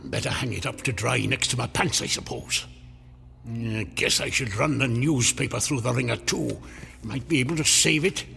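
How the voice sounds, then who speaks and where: A man's voice: medium pitch, normal speed, creaky, bright, forceful tone. An actor playing a grumbling, crotchety man fed up with modern life, muttering folksy exasperation in an old-time radio comedy drama. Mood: puzzled, grumbling. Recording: reverberant radio drama with music behind.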